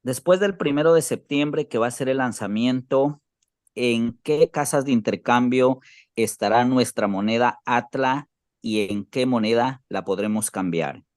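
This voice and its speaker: A man with an Ecuadorian accent speaking formally and deliberately, with pauses, on an online group call. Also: mid-range voice, dry recording, clean studio sound. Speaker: team leader asking questions.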